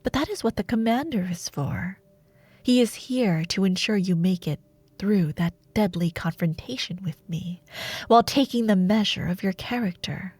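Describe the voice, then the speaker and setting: A woman playing a seductive, ruthless female dark warlord in a fantasy audio roleplay, speaking deliberately with silky menace and breathy murmurs. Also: medium pitch, no room sound, lightly acted. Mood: mocking, coolly scheming. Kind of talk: dramatic fantasy audio roleplay monologue, ASMR.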